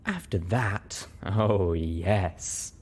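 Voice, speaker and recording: Man as affectionate adult mare, soft delivery, reassuring, gently teasing, audiobook romance figure. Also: sits low, extremely slow, husky, exaggerated voice. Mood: tender, teasing.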